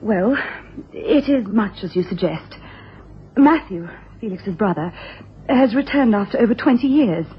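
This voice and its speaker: An actress playing an anxious, genteel wife in a radio drama, formal, refined and hesitant. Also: female voice, mid-range voice, expressive, unhurried, lightly acted. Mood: earnest, confiding. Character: anxious, genteel upper-class wife seeking help. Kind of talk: 1980s radio drama acting. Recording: dull, noisy old radio broadcast.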